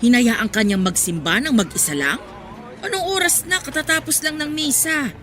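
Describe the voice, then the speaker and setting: An actress playing a nosy, pious older village churchgoer in a radio drama, with a scolding, insistent manner. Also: female voice, high-pitched, normal speed, fairly bright tone. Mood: nosy, concerned.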